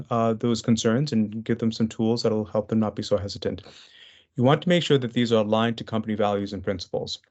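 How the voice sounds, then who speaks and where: A man's voice: medium pitch, a little mellow, highs cut off. A man presenting a business webinar over a video call. He talks conversationally through his slides, with frequent fillers and restarts.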